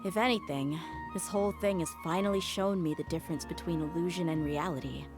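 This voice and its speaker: A tough young mercenary woman, played by a voice actress, speaks low and reflectively over prominent music. She is candid and resolute, with a wistful streak, in the style of video game dialogue. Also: a little fast, close to an everyday voice. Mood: wistful, reassuring.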